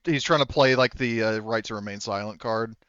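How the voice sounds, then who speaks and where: A man's voice: fairly low pitch, brisk, fairly bright tone, smooth, no echo, muffled recording. A man speaking as game master, narrating a tabletop roleplaying game casually and informally, sometimes swearing.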